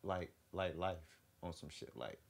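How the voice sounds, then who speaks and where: Low-voiced young man, slangy and rambling. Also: animated, a little slow, creaky, no echo. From Virginia, US. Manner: rambling, repeats himself, slangy. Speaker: podcast guest, recording artist. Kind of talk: casual chat. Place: small podcast room with couches. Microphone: close podcast mic.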